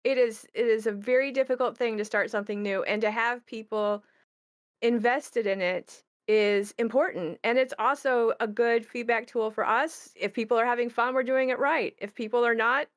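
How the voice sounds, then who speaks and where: Woman moderating a game panel, chatty and enthusiastic, voice a bit on the high side. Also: steady tone, moderate pace. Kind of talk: casual panel chat.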